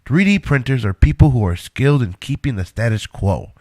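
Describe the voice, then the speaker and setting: A man's voice: mid-range voice, lively intonation, a little fast, a little mellow, no echo, natural voice. A man playing a reluctant inventor in an audio drama, earnestly protesting for the work he is devoted to. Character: Einstein, a reluctant, indignant male inventor devoted to his work.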